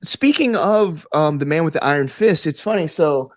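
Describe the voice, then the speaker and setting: A man's voice: fairly high pitch, lively intonation, normal speed, dry recording, clean studio sound. A man talking casually as an interview guest, with an enthusiastic, geeky manner and a habit of rambling off on tangents. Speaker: podcast guest, actor and tabletop gamer.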